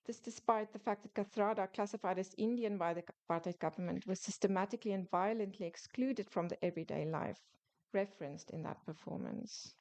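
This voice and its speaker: A woman author presenting her book in a prepared academic lecture, reading in a measured way with small restarts. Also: medium pitch, warm tone. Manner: measured, reads closely from a script with small restarts.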